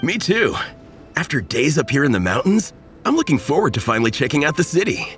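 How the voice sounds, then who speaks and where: Earnest geeky young man for an anime game: highish voice, wildly animated, excitable. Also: a little fast, soft background music. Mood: eager. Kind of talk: English anime-style video game dub.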